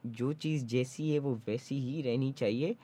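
A man's voice: medium pitch, a little slow, mellow. A young man from Pakistan, a rapper, talking earnestly in a casual interview and mixing Urdu with English. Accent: Sindhi-tinged Pakistani English. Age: young adult. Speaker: rapper guest.

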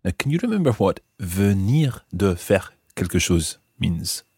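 A man hosting a scripted language lesson, explaining clearly and repeating phrases slowly and deliberately. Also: low-pitched, sing-song, darkish tone, no room sound, clean studio sound. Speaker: language teacher and host.

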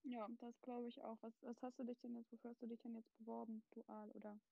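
A woman's voice: a little high, flat delivery, brisk, gravelly, no echo, highs cut off. A woman of twenty talking casually, chatty and hedging with fillers. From Germany. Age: 20s. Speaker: guest. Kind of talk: casual chat.

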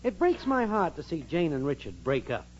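A man's voice: fairly high pitch, huge pitch swings, moderate pace. Brash, swooping wisecracking guy, old radio. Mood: scheming. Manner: cocky, slangy. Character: brash wisecracking young man, slangy big-city schemer boyfriend. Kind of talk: 1940s radio comedy acting. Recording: dull, hissy old radio recording.

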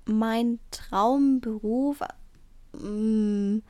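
A young woman speaks slowly and hesitantly, weighing her words as she chats on a podcast. Her voice is slightly high. The recording is dry and close, with no room sound. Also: dark tone, no echo. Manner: slow, thoughtful, hesitant. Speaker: podcast co-host. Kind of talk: casual chat.

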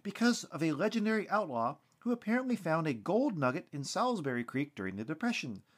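A man's voice: on the high side, sing-song, quick pace, pitched above the performer's own voice. A man voicing a female TV field reporter who reports in a serious, concerned and emphatic way. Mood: earnest, concerned. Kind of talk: TV news report.

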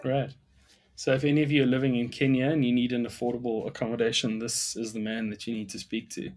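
A man's voice: mid-range voice, flat delivery, quick pace, darkish tone. A man hosting a podcast, introducing his guest and asking questions in a friendly, upbeat way. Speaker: podcast host. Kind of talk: interview hosting.

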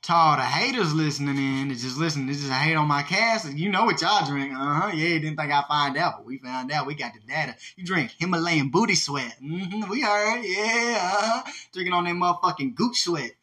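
A man with a bright, ringing voice pitched a little high rambles through profane, joking podcast banter. His talk is loose and slangy, and he sounds high. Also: brisk, hardly any pauses, echoey room. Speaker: podcast co-host. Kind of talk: casual profane chat.

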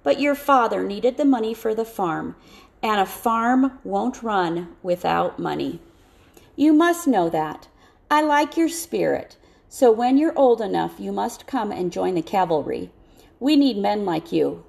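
A woman voicing a kind, courteous but firm male cavalry officer, speaking in a measured, reassuring way. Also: medium pitch, expressive, gravelly, a little mellow.

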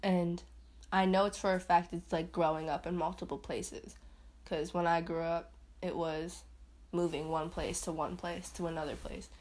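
A young woman talks loosely through a casual solo podcast, her voice sitting a little low. She rambles and laughs at herself, and now and then she jumps up into a higher playful voice. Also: flat delivery, normal speed, a little mellow. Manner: swings into high put-on voices. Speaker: podcast host.

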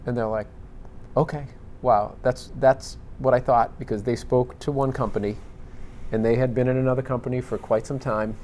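A man's voice: mid-range voice, fairly even intonation, normal speed, darkish tone, slight background noise. A man hosting a panel discussion, asking long searching questions in a conversational way. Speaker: podcast host.

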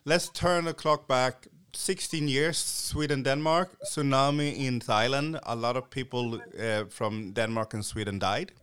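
A man from Haiti with a smooth, resonant voice at a middle pitch talks in a relaxed podcast chat. He trips over his own restarts and repeats words before his point comes out. When a story gets under his skin, his voice climbs and hardens. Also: measured pace, hardly any pauses. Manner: stammering restarts, grows heated when telling stories. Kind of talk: casual chat.

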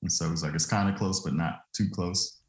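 Brief: 30s man from Louisiana, very low voice, laid-back storyteller, echoey room. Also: steady tone, a little fast. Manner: easygoing, laughs often, loose filler-heavy telling. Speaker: guest, former football player turned trucking business owner. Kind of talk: relaxed interview storytelling.

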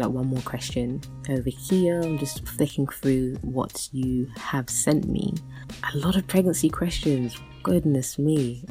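A woman's voice: extremely low pitch, warm tone. A woman in her thirties hosting a solo podcast, chatting casually and rambling with many fillers and restarts. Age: 30s. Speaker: podcast host.

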